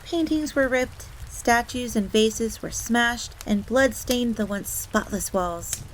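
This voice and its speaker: A timid, easily flustered fox maid played by a woman with a high voice. She is eager to serve and speaks deferentially, referring to herself in the third person. Faint rain runs behind her in this fantasy audio roleplay. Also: slow pace, a little hiss or hum, low-quality recording. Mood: hushed storytelling. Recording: steady rain ambience under the voice.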